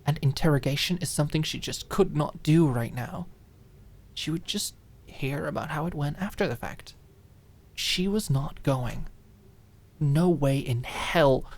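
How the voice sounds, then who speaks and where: A woman with a low-pitched voice narrates dramatic fiction as an audiobook. Her delivery is expressive and deliberate, and she lingers on the important phrases.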